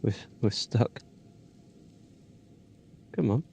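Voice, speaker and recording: A man's voice: drawn-out words, warm tone, a little hiss or hum. A man casually commenting while streaming a game, wry and self-deprecating.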